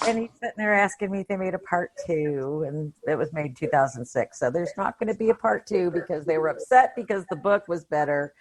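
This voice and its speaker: Lowish-voiced woman, blunt and informal. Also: animated, brisk, few pauses. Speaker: podcast co-host. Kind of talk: casual chat.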